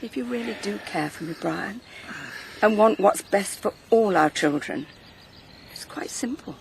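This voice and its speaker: A woman's voice: medium pitch, animated, measured pace, creaky, slight background noise. A woman playing a hurt, resolute older wife and matriarch with an English accent, earnest and persuasive in a naturalistic radio drama. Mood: calm, firm.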